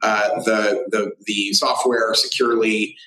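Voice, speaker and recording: A man giving unscripted interview answers as a tech company founder, halting and full of fillers as he thinks aloud. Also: fairly low pitch, flat delivery, sharp tone. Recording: roomy, echoey sound.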